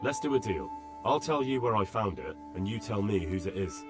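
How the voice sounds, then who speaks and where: An actor playing a young, pragmatic medieval investigator in a video game, plain-spoken and full of direct questions. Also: male voice, on the low side, big pitch swings, normal speed. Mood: businesslike. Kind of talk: video game cutscene acting. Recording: clear music behind the voice.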